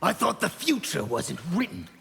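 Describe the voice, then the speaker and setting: An actor playing a proud, war-hungry male warrior in a video game, defiant and sneering, with a gravelly voice. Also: high voice, huge pitch swings, normal speed, fairly bright tone, slight background noise, full character voice. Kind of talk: video game character dialogue.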